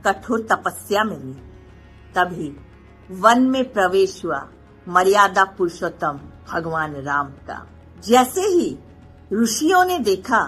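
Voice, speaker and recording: Female devotional narrator, unhurried with dramatic pauses, breathy-edged voice, light music behind. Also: medium pitch. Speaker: devotional storyteller. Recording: faint background music. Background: soft music.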